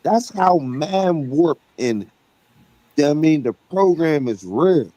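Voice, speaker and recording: A man's voice: fairly high pitch, animated, slow, warm tone, dry recording. A man on a live stream panel, talking heatedly and emphatically, often asking "right?". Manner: emphatic, repeats "right?" and "you know what I'm saying". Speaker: debate panelist. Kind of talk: heated debate talk.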